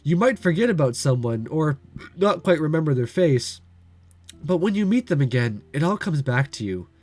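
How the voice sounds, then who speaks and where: A man's voice: a little high, sing-song, normal speed, a little mellow. A man reading the part of an easygoing, unashamed young man in a casual, plain way.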